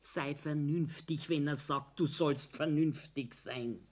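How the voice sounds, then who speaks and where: Anxious, slow-speaking mother. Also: low voice, a little mellow. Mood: anxious, pleading. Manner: fretful, appeasing. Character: anxious, soothing wife and mother of a quarrelling family. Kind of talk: German radio play acting.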